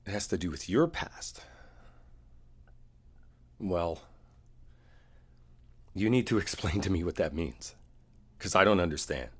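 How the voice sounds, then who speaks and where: A man playing a frustrated, wounded boyfriend in a one-sided audio roleplay, talking in a naturalistic, halting way with a husky voice. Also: deep voice, lively intonation, exaggerated voice. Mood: hurt, frustrated. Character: frustrated, wounded boyfriend arguing with his partner.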